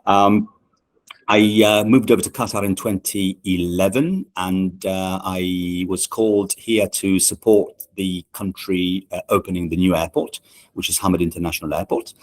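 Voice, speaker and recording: Deep-voiced man, halting and measured. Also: fairly even intonation. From Italy. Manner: measured, with many "uh" and "um" pauses and restarts. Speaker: management consultant and guest. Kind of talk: podcast interview answers. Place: podcast studio.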